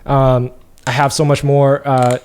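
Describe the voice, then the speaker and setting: A young man chats as a podcast guest, circling his points with fillers and restarts. His voice sits at an ordinary middle pitch. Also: monotone, measured pace, a little mellow, clean tone. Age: young adult. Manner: rambling, many fillers and restarts. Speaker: podcast guest, apparel brand founder. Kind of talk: casual interview conversation.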